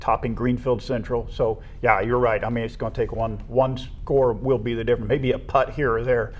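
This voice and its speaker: A man's voice: medium pitch, little pitch movement, normal speed, a little hiss or hum. An American man co-hosting a school sports show, talking through results in a conversational, matter-of-fact, analytical way. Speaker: co-host of a school athletics show.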